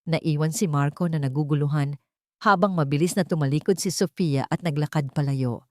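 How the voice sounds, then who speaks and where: A woman narrates a romantic drama from a script. Her voice is low for a woman, with a dramatic storytelling style. The recording is very dry, with no room sound. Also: normal speed, a little mellow, no room sound.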